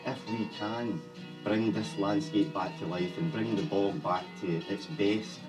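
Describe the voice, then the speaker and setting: A man's voice: fairly low pitch, fairly even intonation, a little slow. A man speaking earnestly in a documentary video. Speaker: project member in a video. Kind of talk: documentary interview. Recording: distant video clip with music.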